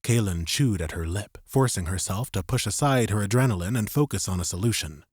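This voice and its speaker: Male fiction narrator: deep-leaning, dry close recording, measured suspenseful storytelling for an audiobook. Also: expressive, darkish tone, husky, no room sound.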